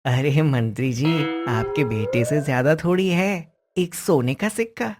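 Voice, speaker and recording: A woman's voice: low voice, unhurried, a little mellow, soft background music. A woman voicing a clever, confident boy who sells advice in a cartoon story. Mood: shrewd, calm.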